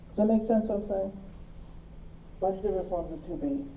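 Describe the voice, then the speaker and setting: A woman's voice: medium pitch, brisk, mellow, reverberant, muffled recording, a little hiss or hum. A woman teaching a logic class, explaining step by step and often checking that her students follow. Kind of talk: classroom lecture.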